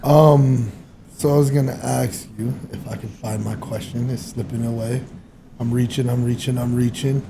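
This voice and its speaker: A man's voice: medium pitch, little pitch movement, moderate pace, gravelly, noisy background. A man hosting a casual interview, easygoing and a little self-deprecating, asking loose questions. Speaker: podcast host.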